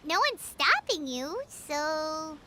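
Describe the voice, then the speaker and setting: A tiny, blunt game sidekick with an extremely high, bright squeak. She draws her words out in a cutesy, childlike way and swings around in pitch. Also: big pitch swings, takes it slowly, slight background noise, heavily acted, poor audio. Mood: skeptical. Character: tiny, childlike, blunt floating companion girl. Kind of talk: video game voice acting.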